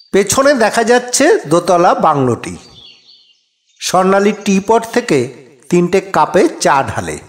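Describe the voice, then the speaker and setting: A man narrating a scripted audio story as its narrator. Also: high voice.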